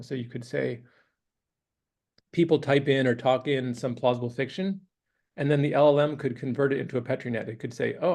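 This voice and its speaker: Gravelly-voiced man lecturing, voice going. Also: mid-range voice. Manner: relaxed, hesitant, thinking aloud. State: losing his voice, clearing throat. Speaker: guest lecturer. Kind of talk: academic lecture with questions. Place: university classroom.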